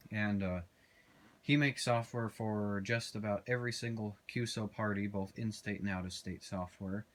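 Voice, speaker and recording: A man's voice: lowish voice, fairly even intonation, normal speed. A man giving a casual, unscripted walkthrough as an amateur radio operator, plain-spoken and a little hesitant.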